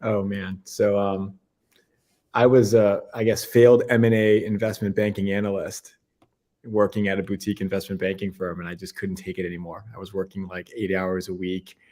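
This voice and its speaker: A man's voice: sits low, little pitch movement, moderate pace, mellow. A man with a Boston accent, a guest telling stories in a laid-back way during a casual interview.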